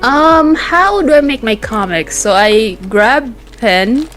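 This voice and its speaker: A man's voice: very high-pitched, animated, slow pace, sharp tone. Light-voiced man chatting casually over music. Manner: informal, youthful slang. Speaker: interview guest, webcomic artist. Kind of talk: casual chat. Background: background music.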